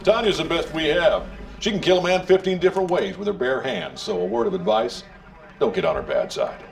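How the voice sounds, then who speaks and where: An actor playing a brash, hawkish American leader in a video game cutscene, breezy and swaggering, with an American accent. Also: male voice, sits high, very animated, brisk, vocal fry, recorded from a distance, heavily acted. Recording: off-mic with faint background noise.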